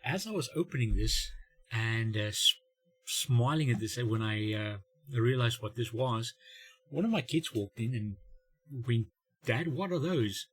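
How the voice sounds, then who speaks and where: A middle-aged man delivers a comedic monologue in a medium-pitched, slightly husky voice. He is wry and self-mocking, playing out little exchanges and pausing for dramatic effect. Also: lively intonation, poor audio. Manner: wry, self-mocking dad humour with dramatic pauses and acted-out dialogue. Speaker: host of a family humour channel.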